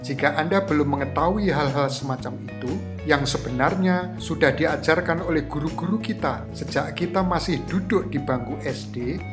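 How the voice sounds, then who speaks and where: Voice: mid-range voice, moderate pace. A presenter gives scripted instructional narration in a didactic manner, putting rhetorical questions to the listener. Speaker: host of a screenwriting tutorial. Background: guitar music.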